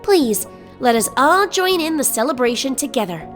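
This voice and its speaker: A woman's voice: sits high, animated, normal speed, over music. A woman playing an earnest, polite young pony princess, speaking articulately in a fan fiction audio drama. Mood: formal, proud.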